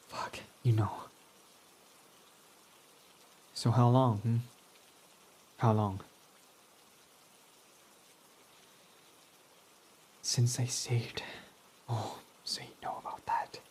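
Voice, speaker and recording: A man's voice: medium pitch, mellow, breathy edge, lightly acted. A man playing a foul-mouthed brooding villain. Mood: anxious confession. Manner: intimate and confessional, swearing freely. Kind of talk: ASMR roleplay audio drama.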